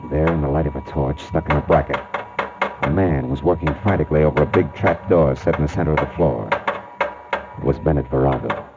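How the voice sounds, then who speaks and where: Deep American male voice, tough wisecracking detective, 1940s radio drama, dull vintage recording, music behind. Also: brisk. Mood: grim, wry narration. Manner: wisecracking, slangy. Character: wry, tough male private detective narrating his case. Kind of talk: 1940s radio acting, hardboiled detective narration.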